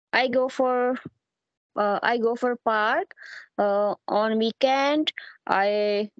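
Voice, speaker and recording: A woman with a Pakistani accent answers as a language test candidate. Her voice is a little high and slow, with hesitant, basic English, on a dull call recording. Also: no room sound. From Lahore, Pakistan. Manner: hesitant, simple beginner English. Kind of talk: answering practice test questions. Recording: dull, dry online call audio.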